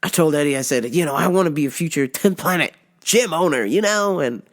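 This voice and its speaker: A woman's voice: low voice, lively intonation, brisk. A woman hosting her own podcast, congested with a sore throat, rambling casually, swearing a lot and laughing often. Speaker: podcast host. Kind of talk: casual solo podcast monologue.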